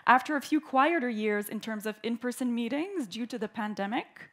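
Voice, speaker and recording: A woman from Canada stands at a stage microphone to open a conference. Her voice sits fairly high, and she reads her prepared welcome remarks in a clear, orderly way. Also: animated, normal speed, a bit bright. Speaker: event host, opening speaker. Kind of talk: formal welcoming speech. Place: conference hall.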